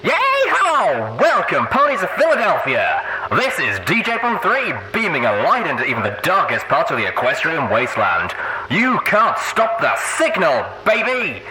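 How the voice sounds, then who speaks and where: A man's voice: on the high side, wildly swooping pitch, moderate pace, over music, voice pushed up. A man voicing a flamboyant, cheeky pony radio DJ through an old radio effect, in upbeat broadcast patter. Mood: exuberant. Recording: echoey, noisy crackling radio effect.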